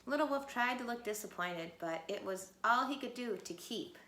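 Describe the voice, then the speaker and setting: Brief: woman teacher, gentle read-aloud at home, slight background noise. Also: fairly high pitch, lively intonation, moderate pace, reverberant. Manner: gentle, asks children questions. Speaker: teacher reading aloud to children. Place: home, pets nearby. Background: dogs playing.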